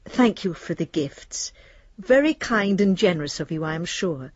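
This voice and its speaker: Casting: prim female clerk in radio drama, lowish husky voice, businesslike letter-reading; slightly dull sound. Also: animated, normal speed, darkish tone, no echo. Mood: politely reserved. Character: formal, politely reserved female bank clerk.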